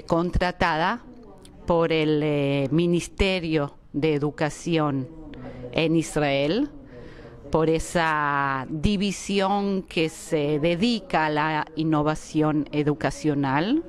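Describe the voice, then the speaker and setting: A woman with a slightly low voice talks very slowly in an interview. She hesitates often and fills her pauses while she searches for words. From Argentina. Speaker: interviewee, education researcher. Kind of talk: slow, thoughtful interview answers.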